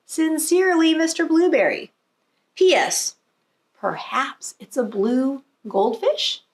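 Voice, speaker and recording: A woman's voice: sits high, sing-song, slow, pitched above the performer's own voice, heavily acted. A woman voicing a polite, firm male schoolteacher in a storybook, patient, formal and matter-of-fact. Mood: instructive. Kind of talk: storybook read-aloud.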